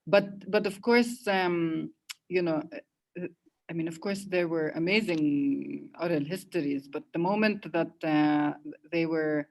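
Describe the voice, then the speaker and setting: A woman's voice: deep voice, unhurried, a little mellow, rough recording. A woman hosting a casual online conversation, speaking reflectively with hesitations and restarts. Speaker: host.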